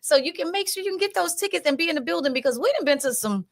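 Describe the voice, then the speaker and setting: A woman's voice: very high voice, lively intonation, racing, dry recording, studio quality. An American woman hosting a casual community talk show, emphatic and informal, often addressing her audience directly.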